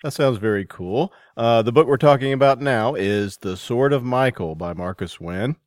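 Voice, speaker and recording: A man's voice: medium pitch, moderate pace. A man hosting a show and reading scripted introductions. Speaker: show host. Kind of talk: radio-style hosting and scripted announcements.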